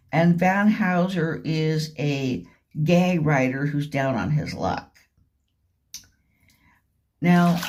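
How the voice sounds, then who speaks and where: A woman with a fairly low voice speaks very slowly in an informal monologue. She halts often and repeats phrases with deliberate emphasis. There is noticeable background noise in the recording. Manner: halting, repetitive, with deliberate emphasis. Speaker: vlogger. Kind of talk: rambling informal monologue retelling and commenting on a radio drama.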